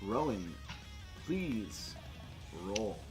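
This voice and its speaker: A man's voice: on the low side, big pitch swings, drawn-out words. A man running a tabletop role-playing game as game master, talking and narrating in an informal, sweary way. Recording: music playing behind the voice.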